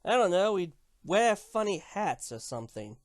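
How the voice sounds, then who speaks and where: A woman's voice: mid-range voice, very animated, normal speed, no room sound, clean studio sound, natural voice. A woman playing a grumpy, menacing winged unicorn empress in a dramatic cartoon story reading, grumbling, dismissive and sardonic. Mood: annoyed, dismissive.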